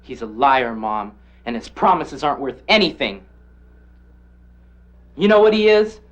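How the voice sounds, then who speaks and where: A man's voice: medium pitch, very animated, measured pace, dull audio. A young male actor playing a disillusioned, bitter teenage son in a 1980s educational film.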